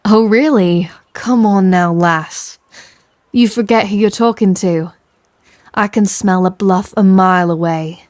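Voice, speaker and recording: A woman's voice: medium pitch, unhurried, a little mellow, no echo, natural voice. A woman playing a tender but commanding female pirate captain in an intimate audio roleplay, with a stage pirate accent and teasing sailor slang. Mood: teasing, insistent. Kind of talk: scripted roleplay audio, intimate second-person address.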